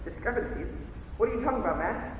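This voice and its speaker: A teenage student actor playing a blustering, indignant upper-class gentleman in a melodramatic stage farce rehearsal. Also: sits high, lively intonation, fast talker. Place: large indoor high school rehearsal space. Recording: very echoey hall, distant mic.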